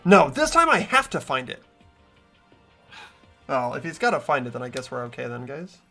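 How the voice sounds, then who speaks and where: A man's voice: mid-range voice, sing-song, normal speed, gravelly, bright, forceful tone, soft background music. A young man hosting a game playthrough, reading story narration aloud and chatting casually. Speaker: host and lead reader of a game playthrough. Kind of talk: voiced game narration mixed with casual chat.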